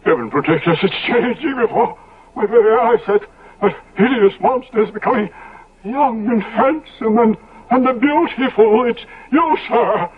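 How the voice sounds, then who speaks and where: An actor playing a frightened, loyal manservant in a 1970s radio drama, halting and melodramatic, on a tinny old recording. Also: male voice, extremely high pitch, expressive, slightly rough, full character voice. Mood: horrified awe.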